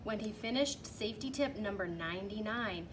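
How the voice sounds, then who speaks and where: A woman reading a children's storybook aloud in an animated, emphatic way, drawing out key phrases. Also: mid-range voice, normal speed. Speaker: teacher reading a picture book aloud. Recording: clearly noisy background.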